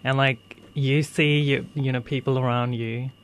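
A man's voice: medium pitch, steady tone, normal speed, a little hiss or hum. A 24-year-old from India with a male-sounding voice, talking casually about personal experience in a hesitant way, peppered with filler phrases. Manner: hesitant, heavy use of "like" and "you know". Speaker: interview guest sharing life story.

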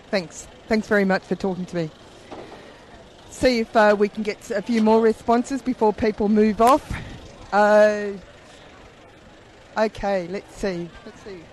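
A man reporting for community radio, briskly asking people questions at an outdoor rally. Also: high-pitched, a little hiss or hum. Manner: brisk, friendly, prompting questions. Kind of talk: street vox pop interviewing.

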